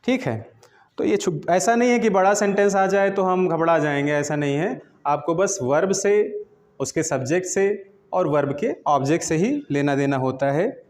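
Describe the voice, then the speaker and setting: A man with a fairly high voice and an Indian accent speaks as a grammar teacher. He explains step by step, repeats key phrases and poses short questions before answering them. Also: lively intonation, normal speed, a little mellow. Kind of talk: classroom lesson, explaining step by step in Hindi mixed with English terms.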